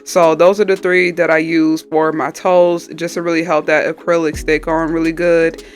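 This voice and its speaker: A woman's voice: deep voice, little pitch movement, normal speed. A woman nail technician with a stuffy, slightly nasal voice from a cold, casually explaining each step in a tutorial voice-over. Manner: chatty, explains each step as she goes. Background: faint background music.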